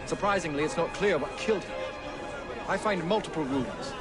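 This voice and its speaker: An actor playing an observant investigator in a game cutscene, speaking in a dry, deliberate, analytical way. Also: male voice, a little high, lively intonation, lightly acted. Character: observant male murder investigator, dry and matter-of-fact. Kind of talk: acted video game cutscene dialogue. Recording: loud music and street crowd behind.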